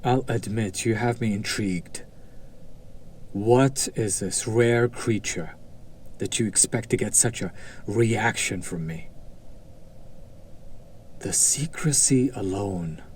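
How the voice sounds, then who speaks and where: A man's voice: lowish voice, slow, long pauses, a little mellow, slight background noise. A man playing a haughty, sardonic demon buyer in an audio drama, drawling with condescending, theatrical skepticism. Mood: suspicious, intrigued. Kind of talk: scripted roleplay audio drama acting.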